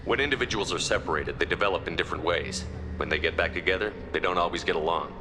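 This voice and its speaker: An actor playing a steady, pragmatic military commander in a science fiction video game, direct and level-headed. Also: male voice, low voice, quick pace, noisy background, natural voice. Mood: level-headed, firm. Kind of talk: video game character acting.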